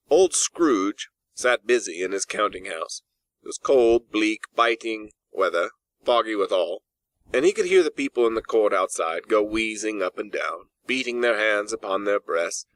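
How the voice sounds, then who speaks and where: A man reading a classic story as an audiobook narrator, in a measured, expressive storytelling manner. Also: fairly low pitch, dry recording. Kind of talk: scripted literary narration. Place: dry in-house recording space.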